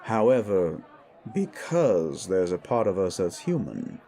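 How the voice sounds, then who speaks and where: A man's voice: low voice, sing-song, takes it slowly, warm tone, some chatter behind, heavily acted. A man voicing an older, patient, experienced werewolf mentor who explains things frankly in an audio drama. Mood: patient, instructive. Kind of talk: scripted audio drama dialogue.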